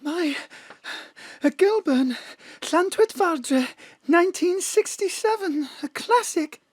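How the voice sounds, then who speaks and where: A very high, breathy, trembling voice of an anxious fading film star, as the narrator puts her on in a radio drama. The woman's voice quavers. The recording is dry and close. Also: expressive, slow, darkish tone, no room sound, exaggerated voice. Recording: dry home studio.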